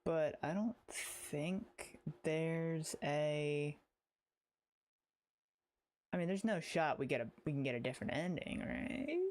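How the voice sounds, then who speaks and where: A man giving casual livestream game commentary, halting and rambling as he repeats his phrases. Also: a little high. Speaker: game streamer.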